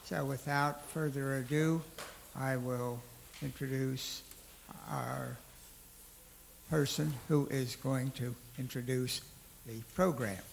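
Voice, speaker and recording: A man welcoming an audience at a church event, talking slowly and halting with many fillers. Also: mid-range voice, steady tone, creaky, in a large hall, a little hiss or hum, rough recording. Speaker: event organizer. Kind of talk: opening remarks at a church event. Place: church hall.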